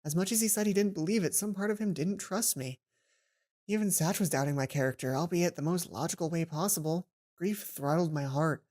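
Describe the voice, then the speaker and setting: A woman's voice: on the low side, brisk. A woman hosting a let's play, reading a visual novel aloud expressively and adding casual, chatty commentary. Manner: expressive, acts out lines, then chatty asides. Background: game music.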